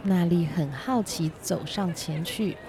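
A woman's voice: on the low side, a little slow, a crowd in the background. A woman narrating a scripted children's story as its storyteller. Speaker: storyteller and host.

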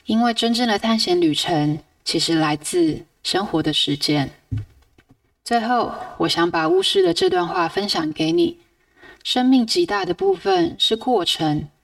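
A woman hosting a podcast, reading a scripted book review in a measured, reflective storytelling way. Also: fairly low pitch, vocal fry, dry recording, distant mic. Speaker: podcast host.

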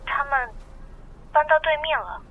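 An actress playing a stern, suspicious young sister in a romance radio drama, questioning sharply over a noisy phone-call scene. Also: female voice, high-pitched, unhurried. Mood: stern, accusing. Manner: sharp, interrogating.

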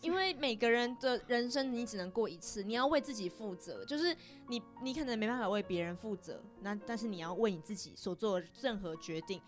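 A woman's voice: a little high, lively intonation, a little fast, few pauses, faint music behind. A young woman with a Taiwanese Mandarin accent chatting casually in an empathetic, reflective way as a podcast co-host. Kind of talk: casual conversation.

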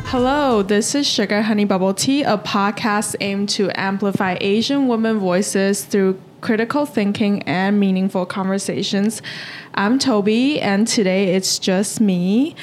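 A woman's voice: medium pitch, unhurried, faint music behind. A young woman from Taiwan hosting a podcast, talking casually and hesitantly with lots of "kinda, like.". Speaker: podcast host.

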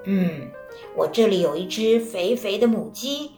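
A woman's voice: mid-range voice, expressive, measured pace, dark tone. A woman voicing a foolish, cheerful old man who talks proudly and easily. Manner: proud, easygoing, chuckling. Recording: roomy, with faint music.

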